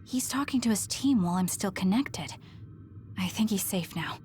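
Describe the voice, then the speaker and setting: A woman's voice: mid-range voice, lively intonation, moderate pace, darkish tone, soft background music, lightly acted. A woman playing a determined, protective young female fighter in an English-dubbed anime game. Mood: focused.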